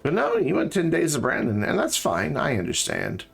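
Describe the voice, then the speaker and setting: A man's voice: a little high, wildly swooping pitch, normal speed, vocal fry, husky, soft background music. A tired man with a slightly scratchy throat, streaming a game and thinking aloud in casual chat with many fillers.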